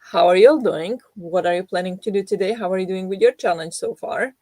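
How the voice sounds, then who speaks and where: A woman's voice: medium pitch, fairly quick, darkish tone, no echo. Groggy young woman in casual chat. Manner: rambling, self-deprecating, many fillers and restarts. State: just woken up, groggy and deep-voiced. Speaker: book vlogger hosting a reading sprint.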